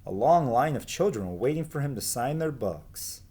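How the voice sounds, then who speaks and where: A man reading a children's book aloud as a teacher would, in an expressive storytelling style with emphatic dialogue. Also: medium pitch, normal speed, breathy edge.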